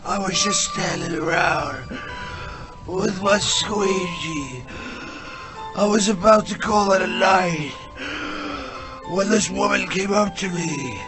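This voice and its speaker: Slangy street slacker: high-pitched, unhurried, dazed and woozy, audio drama supporting role. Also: male voice, loud music behind the voice, rough recording. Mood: shaken. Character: dazed, slangy male street squeegee man.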